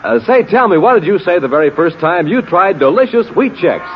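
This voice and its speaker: A male 1950s radio announcer with an animated, fairly high voice and punchy, emphatic delivery. He reads like a showman, on a dull old recording with clear noise. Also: fairly quick. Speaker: announcer and cereal pitchman. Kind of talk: 1950s radio announcing, scripted ad and story narration.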